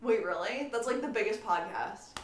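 A young woman guesting on a podcast, chatting casually in a slangy, excitable way. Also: mid-range voice, normal speed. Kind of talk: casual chat. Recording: echoey room, clear background noise.

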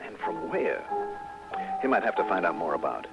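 Shrewd American male investigator: low, crisp delivery, old broadcast with dulled sound and music. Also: very animated, moderate pace, full character voice. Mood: musing. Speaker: lead actor and narrator. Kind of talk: 1960s radio detective drama acting. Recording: dull old radio broadcast, music behind.

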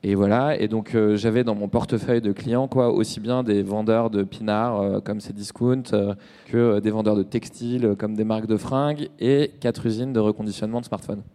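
A man's voice: fairly low pitch, fairly even intonation, moderate pace, mellow, in a large hall. A man in his thirties from France talking casually and informally in an interview, with slangy, hesitant phrasing. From Nantes, France. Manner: informal and slangy, hesitant with many trailing tags. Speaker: startup co-founder, podcast guest.

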